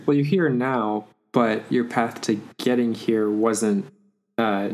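A man who is interviewing in a casual conversation, asking questions in a slow, measured way. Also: mid-range voice, steady tone, a little mellow, a little hiss or hum.

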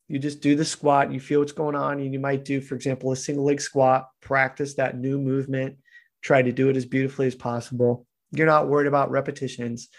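Gravelly-voiced man coaching in casual talk. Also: medium pitch. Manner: earnest, coaching, acts out inner dialogue. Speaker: podcast guest, fitness coach. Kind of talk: casual explanatory chat.

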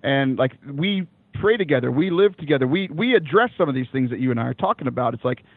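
A young man with a loud voice, talking casually as an interview guest, animated, with many fillers and restarts, laughing often. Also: medium pitch, fast, breathy edge, dry recording. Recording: dry, phone-like call line.

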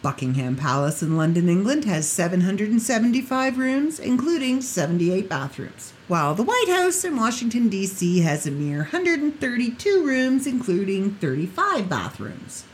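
A woman hosts her podcast alone, chatty and jokey, with a lively pitch that bounces up and down. Her voice has gone a little hoarse, and a faint hiss sits underneath. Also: normal speed, hardly any pauses. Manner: chatty, jokey, laughs at own asides. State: getting hoarse. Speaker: podcast host. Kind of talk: casual solo podcast chat.

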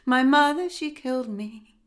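A woman voicing an eerie singing bird, the spirit of a murdered boy, in a slow sing-song chant. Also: sits high, a bit bright, voice pushed up. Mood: haunting chant.

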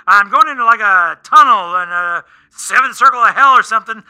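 Actor as shrill, sarcastic, evasive man. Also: animated, a little fast, pushed and bright, clear, resonant tone, full character voice, low-quality recording. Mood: evasive, flustered. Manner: sarcastic, melodramatic. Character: sarcastic, evasive, exasperated man dodging talk. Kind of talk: comedy radio play acting.

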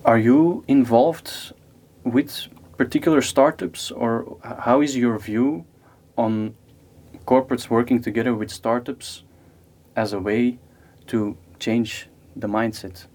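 A man's voice: mid-range voice, steady tone, slow, darkish tone. A male podcast host with a mid-range voice asks questions in an easy, conversational way. He often restarts his sentences and fills the gaps as he feels his way toward each question. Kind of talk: podcast interview.

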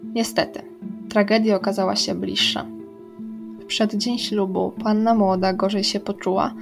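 Music plays behind a young woman with a mid-pitched voice. She hosts a radio crime programme and narrates her story from a script. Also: level tone, normal speed, vocal fry. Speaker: radio true-crime show host.

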